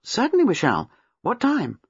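Polite young husband, a bit unsure, narrator doing him, husky voice. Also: medium pitch, wildly swooping pitch, normal speed, no room sound, pitched above the performer's own voice, full character voice. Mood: uncertain. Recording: dull lo-fi dry recording.

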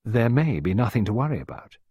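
A man's voice: medium pitch, big pitch swings, fast talker, mellow, slightly rough, no echo. A man voicing an anxious, protective stepmother in an audiobook story, coaxing and insisting with urgency. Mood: consoling. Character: anxious, commanding, protective English stepmother, soothing yet urgent.

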